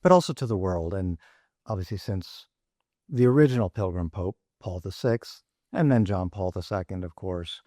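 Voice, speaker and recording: A man's voice: sits low, expressive, warm tone, dry recording, studio quality. A man in a casual podcast discussion, talking thoughtfully with frequent restarts and fillers. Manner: thoughtful, halting, many restarts. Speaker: podcast panelist. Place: studio in Rome.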